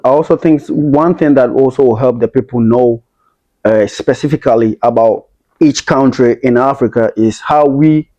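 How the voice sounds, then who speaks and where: A man's voice: mid-range voice, moderate pace, warm tone, dull audio. A man from Ghana in a casual chat who often chimes in with short agreements.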